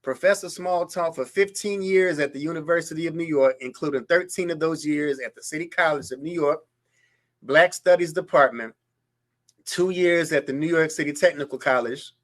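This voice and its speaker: A man's voice: on the high side, moderate pace. A man hosting a history show, reading a biography aloud and breaking off into incredulous, mocking commentary on clips. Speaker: show host.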